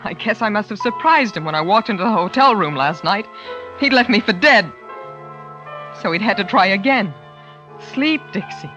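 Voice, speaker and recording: An actress playing a frightened, desperate wife in an old-time radio suspense drama, hesitant and pleading. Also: female voice, medium pitch, expressive, moderate pace, a bit bright, natural voice. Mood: bitter, shaken. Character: frightened, desperate wife who narrates her own peril. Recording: dull vintage radio recording with music.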